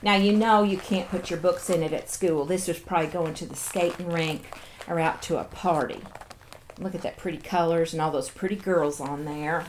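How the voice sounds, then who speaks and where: A woman's voice: on the low side, fairly even intonation, normal speed, a little hiss or hum, low-quality recording. A woman hosting a casual show-and-tell, chatting playfully and cooing as if to little toys and viewers. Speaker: host of a toy show-and-tell video.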